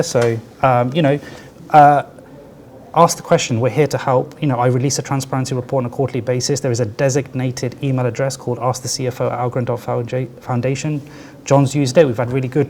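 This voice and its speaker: A man with a quiet voice giving a conference talk as a finance chief, conversational and peppered with "right?" asides. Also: medium pitch, a little hiss or hum. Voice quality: soft, quiet voice. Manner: conversational, tag questions like "right?" and "yeah?". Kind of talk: conference presentation. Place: conference venue with audience.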